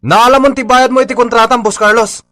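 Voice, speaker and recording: A man's voice: very high voice, steady tone, quick pace, bright, forceful tone, dry recording. A man playing a stubborn, aggrieved Filipino labourer in a radio comedy drama, insistent and accusing. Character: aggrieved, stubborn male labourer demanding his unpaid wages.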